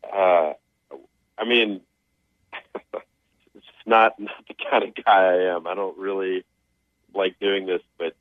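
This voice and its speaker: A man's voice: deep voice, steady tone, slow, pauses often, no echo. A man on a phone call, speaking casually in a reluctant, awkward, hesitant way. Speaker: called phone guest. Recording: tinny telephone line.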